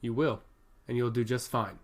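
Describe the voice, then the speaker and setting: A man's voice: mid-range voice, fairly even intonation, normal speed, close to an everyday voice. A man voicing a self-assured, teasing prince in a fantasy audiobook, with wry, patronizing banter. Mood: encouraging.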